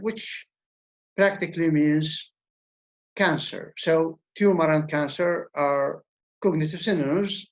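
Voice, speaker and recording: Guy lecturing, slow and careful, kinda high voice, dull lo-fi sound. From Levant. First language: Arabic. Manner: measured, explanatory, repeats words when restarting. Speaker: university lecturer. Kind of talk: academic lecture. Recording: dull lo-fi recording.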